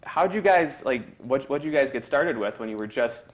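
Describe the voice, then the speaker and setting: A man with a mid-pitched voice hosts a relaxed local interview. He asks informal questions and drops in short acknowledgements. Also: moderate pace. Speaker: interviewer, host.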